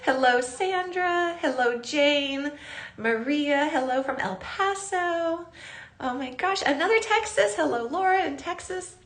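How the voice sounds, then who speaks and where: A woman's voice: sits high, animated, unhurried, fairly bright tone. Sniffly woman hosting a live craft stream. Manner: chatty, greets viewers by name.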